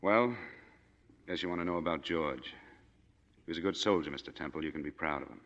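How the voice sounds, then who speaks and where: An American actor playing a laconic, world-weary former army major in a 1940s radio drama. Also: male voice, low-pitched, brisk, a bit bright. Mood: somber, gentle. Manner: laconic, understated. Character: world-weary, plain-spoken male war veteran, former army major. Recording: dull, noisy 1940s radio recording.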